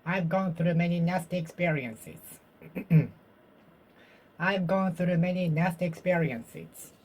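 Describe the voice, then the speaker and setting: A Japanese-speaking learner works through English drills alone, saying each sentence slowly and carefully, again and again. The voice sits at an ordinary pitch and is still getting over a cold. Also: fairly even intonation, darkish tone, poor audio. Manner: slow, careful repetition of the same sentences. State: recovering from a cold. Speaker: vlogger practicing English speaking. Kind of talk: self-study speaking practice, repeating phrases aloud.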